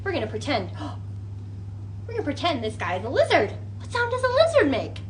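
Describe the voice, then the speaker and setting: A woman leading an interactive children's storytime as a librarian, talking in an animated way with exaggerated wonder and coaxing questions. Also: sits high, normal speed, slight background noise.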